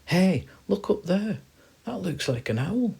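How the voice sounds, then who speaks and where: A man voicing a timid, excitable little guinea pig in a children's story, calling out in drawn-out words. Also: medium pitch, vocal fry, husky, voice pushed up, heavily acted. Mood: excited.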